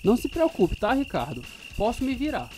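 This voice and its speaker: A troubled, fearful man tells the story of his youth as dramatized radio narration. He is earnest and emotive, with plucked guitar music clearly behind the voice. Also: very high voice, wildly swooping pitch, brisk, mellow, exaggerated voice. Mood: defiant.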